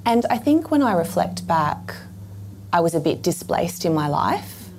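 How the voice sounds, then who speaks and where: A woman in her thirties with a croaky voice, reflecting thoughtfully on her past. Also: fairly low pitch, very animated, measured pace, background noise. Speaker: guest. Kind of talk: reflective conversation.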